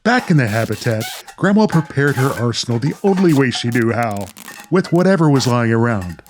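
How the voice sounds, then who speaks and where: A man's voice: medium pitch, big pitch swings, fairly quick, soft background music. A man narrating a scripted comedy radio play, reading scene headings and story as narrator.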